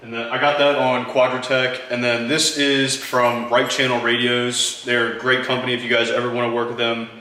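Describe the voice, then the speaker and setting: A man hosting a casual how-to vlog, showing off parts one at a time in a relaxed way. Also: mid-range voice, moderate pace, hardly any pauses, roomy sound, poor audio. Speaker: channel host. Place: garage.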